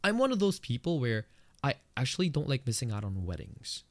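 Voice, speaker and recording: An earnest man with a Filipino accent hosts a solo podcast, his voice sitting at an ordinary middle pitch. He talks in a conversational, reflective way and leaps upward for emphasis. The recording is close and dry, with almost no room sound. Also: big pitch swings, a little fast, no room sound. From Philippines. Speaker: podcast host.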